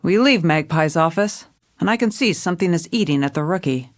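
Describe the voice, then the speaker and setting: Actress as a lowish, hard-boiled police commissioner. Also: female voice, lively intonation, brisk, natural voice. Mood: dry, narrating. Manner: dry, world-weary, stern. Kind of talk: noir first-person audio drama narration.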